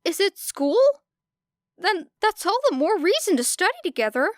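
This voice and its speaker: An earnest, bright-mannered teenage boy, voiced by a woman in an audiobook. The pitch is lifted well up, and the sound is dry and close. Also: big pitch swings, moderate pace, no echo. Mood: bright, hesitant. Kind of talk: audiobook character voice.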